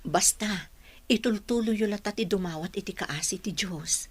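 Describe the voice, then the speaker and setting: A woman's voice: mid-range voice, moderate pace, slightly rough, lightly acted. An actress in a radio drama playing an older motherly woman, consoling and chatty, drawing out the words. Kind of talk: Ilocano radio drama acting.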